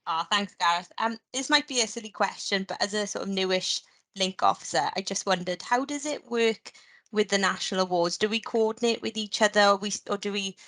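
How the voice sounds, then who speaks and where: A woman's voice: medium pitch, brisk, a bit bright. A woman on a video call asking a question in a meeting, tentative and a little self-deprecating. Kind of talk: casual meeting talk.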